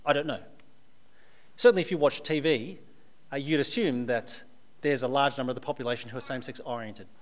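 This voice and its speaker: A man with an Australian accent, preaching a sermon to a live audience in a conversational way, with dry humorous asides. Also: medium pitch.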